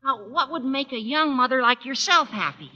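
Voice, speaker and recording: An actor playing an earnest, bumbling teenage schoolboy in an old radio comedy, speaking hesitantly and gushingly. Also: male voice, very high voice, normal speed, sharp tone, full character voice. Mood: sheepish, earnest. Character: earnest, squeaky-voiced teenage schoolboy, devoted but bumbling. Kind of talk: 1940s radio comedy acting. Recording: dull old radio recording.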